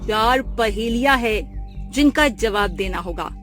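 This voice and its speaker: A woman's voice: on the high side, lively intonation, measured pace, a bit bright, faint music behind. A woman playing a mysterious, menacing forest guardian spirit in a cartoon story, theatrical, ominous and commanding. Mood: challenging, enigmatic.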